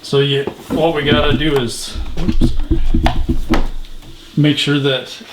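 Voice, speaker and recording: A man's voice: on the high side, little pitch movement, takes it slowly, reverberant. A man chatting casually while working on a car, picked up by a camera microphone. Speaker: helper in car repair. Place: at a car's open engine bay by a garage. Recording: clear noise, clanking tools.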